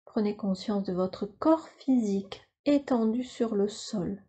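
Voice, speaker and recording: A woman teaching yoga nidra, leading a guided relaxation in a calm, soothing, instructional way with measured phrases. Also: medium pitch, breathy edge.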